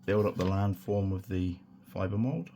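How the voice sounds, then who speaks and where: Man, very low voice, croaky sore throat, rambling tutorial talk, faint hiss. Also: unhurried. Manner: relaxed, rambling, many fillers and restarts. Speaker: hobby tutorial host. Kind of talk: casual hands-on tutorial.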